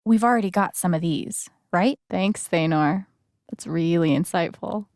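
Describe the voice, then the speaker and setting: A woman voicing an earnest, gentle young game heroine with a wry playful side, in a casual, conversational way. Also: on the low side, unhurried, no echo, clean studio sound, lightly acted. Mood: casual, thoughtful. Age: young adult. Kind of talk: naturalistic video game voice acting.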